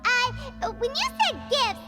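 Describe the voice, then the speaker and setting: A woman voicing a small, excitable, naive fairy companion in a video game, squeaky and childlike. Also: slow pace, full character voice. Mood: nervous. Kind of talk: video game character acting. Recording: music behind the voice.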